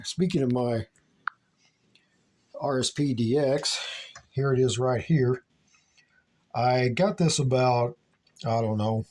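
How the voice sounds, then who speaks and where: Male hobbyist vlogger from the US, mid-pitched, folksy and unscripted, rambles a little. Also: a little mellow. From United States. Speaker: shortwave radio hobbyist giving a tour. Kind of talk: casual unscripted vlog narration.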